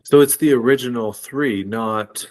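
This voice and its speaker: A man's voice: medium pitch, a little slow, low-quality recording. A man co-hosting a casual podcast, reacting and prompting in an agreeable, curious way. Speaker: podcast co-host.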